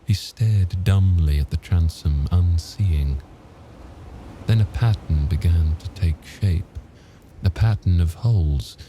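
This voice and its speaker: Very deep, mellow male narrator. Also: slight background noise. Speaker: audiobook narrator. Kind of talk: scripted narration.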